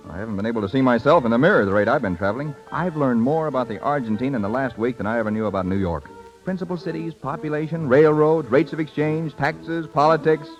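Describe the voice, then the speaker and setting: An actor playing an eager, bashful young suitor in a 1930s radio drama, boyish and slangy, with halting speech. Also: male voice, medium pitch, natural voice. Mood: excited, admiring. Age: young adult. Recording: muffled 1930s radio broadcast with music.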